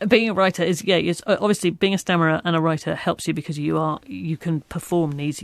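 A middle-aged woman author talking in an interview, reflective and halting, with occasional stammers and restarts. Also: on the low side, gravelly, no echo. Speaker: author guest.

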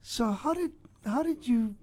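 A woman's voice: a little high, animated, a little slow, darkish tone, dry recording. A woman hosting an interview, asking probing follow-up questions. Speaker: host.

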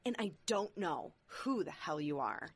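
A woman's voice: low-pitched, sing-song, measured pace, breathy edge, dry recording, full character voice. A woman voicing an exasperated young American bride on her honeymoon, speaking bluntly and sarcastically. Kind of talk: audio drama acting.

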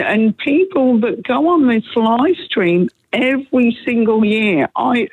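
A hoarse woman, calling in to a radio show, earnest and upset, rambling as she talks. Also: mid-range voice. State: hoarse from a cold. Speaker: caller. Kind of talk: phone-in radio call. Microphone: telephone. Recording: tinny phone line.

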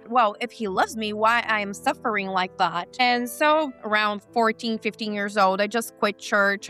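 A woman in her thirties from Brazil with a Brazilian Portuguese accent, a guest telling her life story in an earnest, steady way with slightly halting non-native phrasing. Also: mid-range voice.

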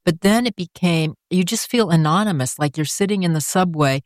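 A woman's voice: lowish voice, brisk, no echo, studio quality. Older woman recalling her life in interview. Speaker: interviewee, author. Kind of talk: reflective interview storytelling.

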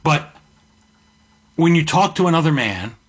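A middle-aged man with a deep voice, hosting a podcast and musing in a conversational monologue with asides and restarts. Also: big pitch swings, measured pace, husky. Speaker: podcast host. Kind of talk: reflective monologue.

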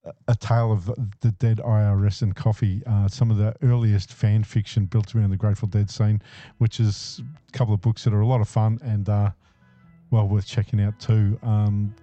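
Brief: easygoing man hosting community radio, very dark mellow voice, dull lo-fi broadcast sound. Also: on the low side, flat delivery, moderate pace, no echo, faint music behind. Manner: easygoing, frequent uh and um fillers. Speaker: radio host. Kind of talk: casual radio DJ patter.

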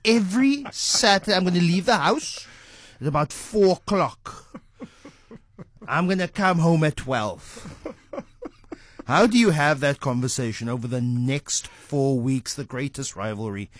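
Higher-pitched South African radio host. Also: male voice, expressive, a little slow, no echo. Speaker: radio talk show host. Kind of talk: conversational radio show preview.

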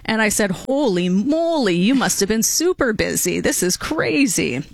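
A woman's voice: medium pitch, very animated, normal speed, creaky, no room sound. A woman hosting a radio show, chatting casually with a co-host and laughing often. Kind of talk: casual on-air chat.

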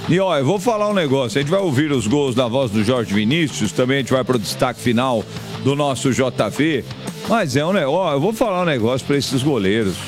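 A man's voice: medium pitch, animated, fairly quick. A Brazilian man hosting a football radio show, giving emphatic, colloquial opinions in a chatty commentary style. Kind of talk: opinionated sports commentary and chat. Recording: music bed under broadcast voice. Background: music.

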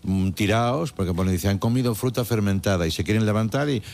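A man's voice: on the low side, fast talker, creaky, darkish tone, slight background noise. A man in his sixties with an accent from Spain, hosting a comedy talk show in casual banter, often repeating short phrases. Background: music behind the voice.

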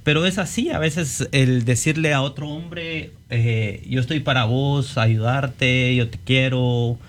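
Guatemalan man, mid-pitched conversational voice. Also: takes it slowly. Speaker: guest, painter. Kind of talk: conversational self-introduction on a panel.

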